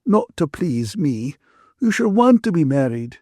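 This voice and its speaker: Man voicing a bitter, scolding woman, pitched high. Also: very animated, a little slow, darkish tone, no room sound, studio quality. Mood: bitter, accusing. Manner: accusing, emphatic, aggrieved. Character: bitter, scolding, tearful woman, indignant and wounded.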